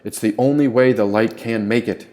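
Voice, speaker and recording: A man's voice: medium pitch, moderate pace, darkish tone, natural voice. A man voicing a wise, athletic opossum mentor in an audiobook, earnest and proclaiming, with grand philosophical turns. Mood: solemn, instructing.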